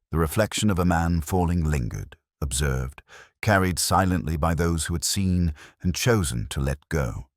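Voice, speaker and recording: A man narrating a first-person detective story in a measured, solemn, literary manner. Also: a bass voice, no room sound, studio quality.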